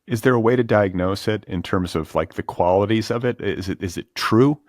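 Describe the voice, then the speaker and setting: A man's voice: lowish voice, normal speed, no room sound, studio quality. A man hosting a podcast in a casual interview style, musing informally and circling around his point. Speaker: podcast host.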